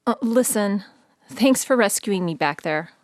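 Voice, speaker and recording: A woman's voice: mid-range voice, lively intonation, measured pace, dry recording, lightly acted. Woman acting sensible, casual radio host, dry sound. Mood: casual, friendly. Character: sensible, friendly female radio show host on location. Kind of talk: radio play dialogue.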